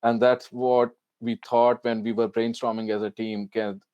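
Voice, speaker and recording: A man hosting an informal fireside chat, putting his questions together in a halting way. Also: medium pitch, little pitch movement, dark tone, clean tone, no room sound, muffled recording. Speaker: event host and interviewer.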